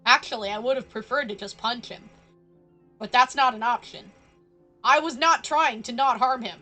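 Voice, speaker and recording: A woman's voice: a little high, normal speed. A woman streaming a game with casual live commentary, snarkily talking back to the characters. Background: soft game music.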